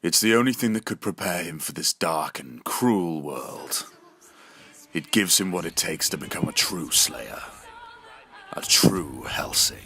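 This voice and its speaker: A man's voice: sits low, unhurried, pushed and bright, slightly rough, heavily acted. An actor playing a stern, commanding middle-aged father who leads monster slayers in a drama. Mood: solemn. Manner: commanding, solemn.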